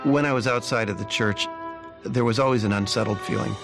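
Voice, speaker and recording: A man with a gravelly voice speaks quietly and from the heart in a testimonial. Loud music plays under him. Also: on the low side, fairly quick. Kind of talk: testimonial in a promo.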